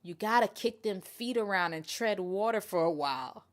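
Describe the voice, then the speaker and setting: A woman's voice: mid-range voice, normal speed. Emphatic woman giving casual opinionated commentary. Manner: animated retelling, acting out quotes; emphatic, repeats points for emphasis. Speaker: host of an MMA commentary channel.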